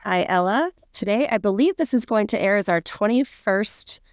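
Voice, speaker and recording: Chatty woman podcast host fighting a cold, smooth clear voice, dry close sound. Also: mid-range voice, expressive, moderate pace, dry recording. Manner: upbeat, grateful, chatty with fillers. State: a bit sick, voice slightly off. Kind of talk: casual podcast chat.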